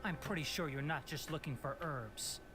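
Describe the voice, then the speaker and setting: An actor playing a young, protective scholar and forest guardian in a video game, articulate, firm and pointed. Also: male voice, on the high side, normal speed, music in the background, natural voice. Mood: firm, defiant. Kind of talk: scripted video game voice acting.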